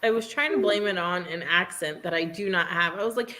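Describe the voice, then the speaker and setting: A woman's voice: on the low side, fairly quick, sharp tone, slight background noise. A woman in her thirties with a Midwestern American accent, chatting casually on a livestream, rambling and self-deprecating and often trailing off mid-sentence. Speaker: livestream co-host.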